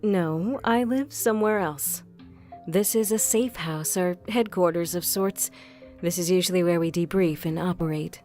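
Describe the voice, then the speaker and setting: A woman's voice: lowish voice, unhurried, darkish tone, soft background music, close to an everyday voice. A woman playing a composed, hospitable covert vigilante in an audio crime drama.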